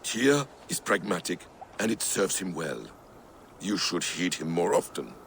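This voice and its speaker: An actor playing a sly trickster god in a video game, probing and insinuating with feigned innocence. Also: male voice, low voice, sing-song, a little slow, vocal fry, a bit bright, slight background noise, heavily acted. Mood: smooth, sly. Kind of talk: video game cutscene acting.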